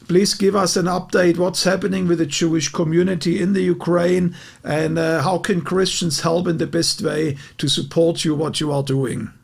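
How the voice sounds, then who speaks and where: A man hosting an update call with guests, speaking earnestly and addressing them directly in a gravelly, husky voice. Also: fairly high pitch, level tone, moderate pace. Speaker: host of a live online update.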